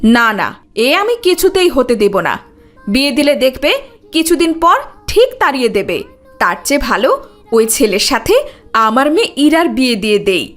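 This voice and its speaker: A woman voicing a scornful middle-aged stepmother in an Islamic cartoon, nagging with sharp rhetorical questions. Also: sits high, sing-song, normal speed, faint music behind. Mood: indignant.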